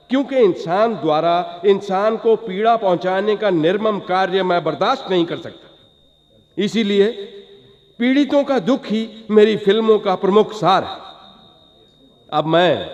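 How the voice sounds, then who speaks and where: An actor playing an acclaimed film director giving a grateful, lofty, formal speech in a radio play, in measured phrases. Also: male voice, high voice, a little mellow. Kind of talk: radio play acting as a public address. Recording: muffled, echoing hall-like reverb.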